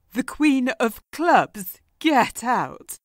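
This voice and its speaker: An actress playing a brash, nosy, sarcastic friend with a theatrical manner in an audio comedy drama. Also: female voice, high-pitched, very animated, drawn-out words, no room sound, studio quality, full character voice. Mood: sardonic.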